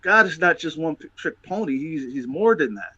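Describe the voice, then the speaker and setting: A man's voice: a little high, animated, moderate pace, pushed and bright, breathy edge, no room sound, muffled recording. A man talking emphatically about faith as a host, often repeating words as he builds a point. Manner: preachy, emphatic, repeats himself. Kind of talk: casual chat.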